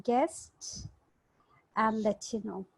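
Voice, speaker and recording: A woman's voice: on the high side, extremely slow, vocal fry, slightly rough, no echo, poor audio. A woman chatting casually in an online group and reading out information. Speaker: poetry group member. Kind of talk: casual chat and reading out facts. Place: online video call.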